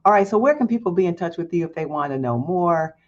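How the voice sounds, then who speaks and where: A man hosting a podcast interview, speaking in a measured and appreciative way. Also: high voice, expressive, muffled recording. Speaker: podcast host.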